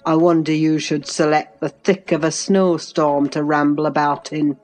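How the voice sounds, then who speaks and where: A woman voicing a gruff, stern master of a moorland house in an audiobook. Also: deep voice, flat delivery, normal speed, a little mellow, soft background music, lightly acted. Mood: stern, chiding. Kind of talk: audiobook character voice.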